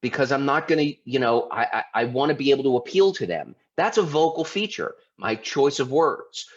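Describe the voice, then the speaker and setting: A man's voice: mid-range voice, expressive, moderate pace. A man from Baltimore giving an informal presentation as a lawyer, talking conversationally with restarts and rhetorical questions. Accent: Baltimore, mostly suppressed. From Baltimore, United States. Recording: slightly dull lo-fi audio.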